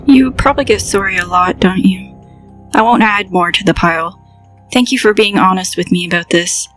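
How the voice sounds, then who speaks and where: A woman's voice: mid-range voice, moderate pace, fairly bright tone, faint music behind, close to an everyday voice. An actress playing a kind young woman gamer who speaks sincerely and reassuringly.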